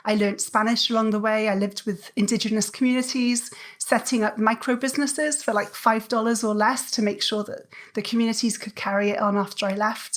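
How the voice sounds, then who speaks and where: A woman from South Wales with a Welsh accent, a podcast guest thoughtfully telling her life story. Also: medium pitch. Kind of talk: reflective interview storytelling.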